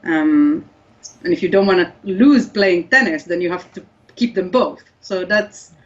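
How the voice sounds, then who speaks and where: A woman's voice: lowish voice, unhurried. A woman whose first language is Hebrew, explaining research in an interview and often restarting her sentences. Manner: thoughtful, many restarts and fillers. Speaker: guest researcher. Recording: slightly dull lo-fi audio.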